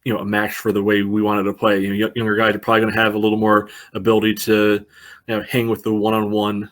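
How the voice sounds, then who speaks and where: A man co-hosting a podcast, casually analysing sport in halting speech peppered with "you know". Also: on the low side, completely flat delivery. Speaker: podcast co-host.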